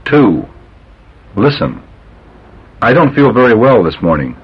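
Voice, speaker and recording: A man reading English drill sentences for a language tape, enunciating slowly and deliberately. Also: medium pitch, very animated, slightly rough. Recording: dull old language tape.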